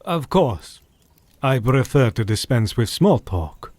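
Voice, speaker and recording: A man playing a grave, courteous scholarly doctor in an audio drama, speaking formally with measured politeness. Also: medium pitch, very animated, a little mellow, dry recording.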